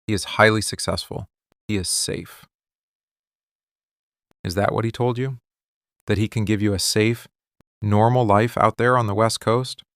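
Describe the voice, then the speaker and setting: Slow, low-voiced possessive mafia boss acted by a man. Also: fairly even intonation, no echo, studio quality. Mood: hurt, probing. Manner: controlled, deliberate, intense questioning in short phrases. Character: possessive, wounded male mafia boss confronting a bartender. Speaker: ASMR voice actor. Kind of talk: boyfriend ASMR roleplay, dramatic monologue.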